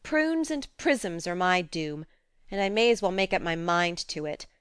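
Spirited, sensible teenage girl, played by a female narrator; storybook dialogue, brisk, frank, smooth tone. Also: lowish voice, lively intonation, no room sound, clean studio sound. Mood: firm. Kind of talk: audiobook character reading.

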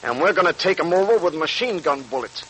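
Cocky, forceful 1940s radio gangster. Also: male voice, on the high side, lively intonation, fast talker. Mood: scheming, boastful. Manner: boastful, emphatic, slangy tough-guy talk. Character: cocky, swaggering male gangster bank robber craving money and nightlife. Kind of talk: 1940s radio crime drama acting. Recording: noisy vintage 1940s radio recording.

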